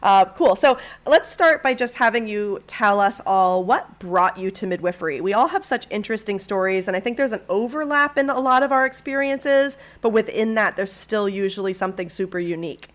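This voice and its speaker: A woman hosting a podcast interview, chatting casually and enthusiastically while recovering from covid with sniffles and throat clearing. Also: medium pitch, brisk, hardly any pauses. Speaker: podcast host.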